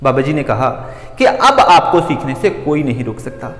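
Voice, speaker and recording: A man's voice: medium pitch, big pitch swings, moderate pace, fairly bright tone. A man narrating moral stories as a motivational storyteller, speaking emphatically and repeating phrases for effect. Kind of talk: scripted moral storytelling narration, addressing listeners as friends. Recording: echoey long reverb with music behind. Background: music.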